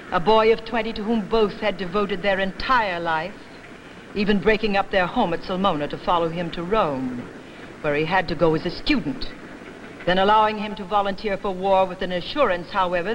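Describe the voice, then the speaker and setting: A woman giving a deliberate, expressive dramatic reading of a literary story. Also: mid-range voice. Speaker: actress giving a staged reading. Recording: noisy old archival reading recording.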